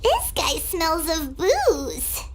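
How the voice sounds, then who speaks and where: A woman voicing a tiny, plucky, blunt childlike companion in a video game, with a squeaky, cheeky delivery. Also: huge pitch swings, drawn-out words, heavy background noise, heavily acted. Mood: exasperated.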